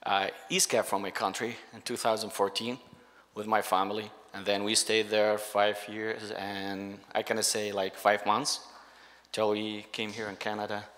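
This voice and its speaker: A middle-aged man with an Iraqi accent and Arabic as his first language, giving an earnest, halting testimony in English. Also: fairly low pitch, level tone, a bit bright. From Iraq. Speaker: church guest giving testimony. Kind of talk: spoken personal testimony. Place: church sanctuary stage. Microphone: handheld microphone on a stand. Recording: reverberant church hall sound.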